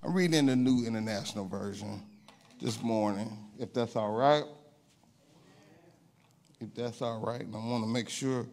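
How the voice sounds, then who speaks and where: A man's voice: medium pitch, normal speed, gravelly, long pauses, darkish tone. A middle-aged man preaching in a church with a handheld microphone, chatting with the congregation in a conversational sermon. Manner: conversational preaching with call-and-response asides. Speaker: pastor. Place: church sanctuary, indoors.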